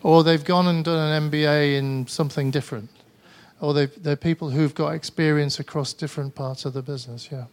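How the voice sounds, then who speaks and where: A man's voice: on the high side, fairly even intonation, fairly quick. A man with a Mancunian English accent gives a tired, conversational conference talk and answers audience questions, often hesitating and restarting. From Manchester, England. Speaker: conference speaker. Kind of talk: presentation with audience Q&A.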